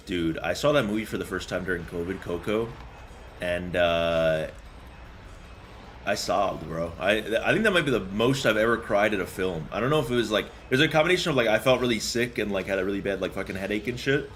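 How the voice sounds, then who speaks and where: A man's voice: on the low side, a bit bright, slight background noise. A young man doing casual, slangy game commentary and chatting with viewers in a joking, rambling way. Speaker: game streamer. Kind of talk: casual gameplay commentary and chat.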